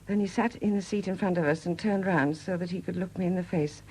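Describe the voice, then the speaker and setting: An actress in a 1970s British radio drama playing a widowed murderess who confesses in a hesitant, confiding manner. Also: female voice, lowish voice, fast, dark tone, slight background noise, lightly acted, rough recording. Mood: weary confession. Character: quiet confessing murderess, a Welsh sculptor's widow.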